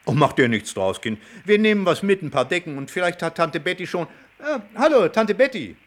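An actor playing a flippant, teasing young man with rough charm in a German radio play, speaking colloquially. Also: sits high, very animated, fairly quick. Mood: breezy.

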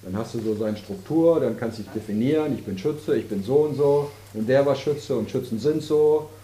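A man's voice: mid-range voice, moderate pace, warm tone. A man in his fifties, a spiritual teacher in a casual, joking talk with his audience, teasing and rambling between jokes and explanations. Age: 50s. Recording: roomy hall with audience.

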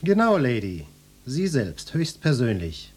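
An actor playing a cocky, macho police detective in a radio play, brusque and swaggering, with a flirtatious streak. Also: male voice, medium pitch, big pitch swings, unhurried, a little hiss or hum. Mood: curt, self-important. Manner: brusque, swaggering, mock-official.

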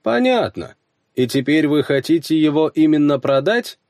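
A man's voice: on the high side, very animated, normal speed, darkish tone, no echo, clean studio sound, voice pushed up. A man voicing a courteous middle-aged gem appraiser in an audiobook, polite, formal and precise. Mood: politely probing.

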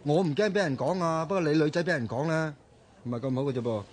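Young man, husky, quick-spoken, Hong Kong Cantonese accent, exasperated, bickering, easygoing, radio play style. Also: a little high. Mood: reluctant. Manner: bickering, animated. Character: exasperated, grumbling, easygoing young male buddy. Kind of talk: radio drama acting.

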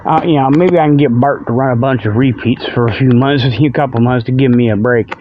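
A man's voice: medium pitch, a little fast, dull audio, background noise. A man hosting a radio show in a rambling, folksy, repetitive comic monologue, recorded outdoors on a tablet. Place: outdoors on a walk before dawn. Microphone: budget tablet held in hand.

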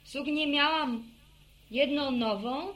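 An actress playing a lively, cocksure young village daughter in a radio serial, speaking with emphatic, explaining delivery. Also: female voice, high-pitched, slow. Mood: eager reading. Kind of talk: Polish radio serial acting, rural family drama.

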